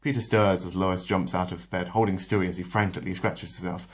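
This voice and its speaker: A very deep-voiced man reads a retold story in a relaxed storybook manner. When he steps off the script, he talks casually and hesitantly, with many pauses. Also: slightly rough. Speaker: storyteller, channel host. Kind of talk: reading a retold episode aloud, then casual chat.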